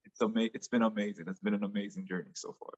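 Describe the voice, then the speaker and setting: Enthusiastic Nigerian-accented man, low voice. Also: steady tone, fast talker, muffled recording. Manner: enthusiastic, repeats words as he talks. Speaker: music artist guest. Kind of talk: casual interview chat.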